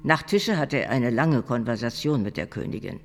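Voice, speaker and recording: A man's voice: mid-range voice, expressive, moderate pace, faint music behind, lightly acted. A man playing an observant, scornful court diarist, reading formally and expressively in a historical radio drama.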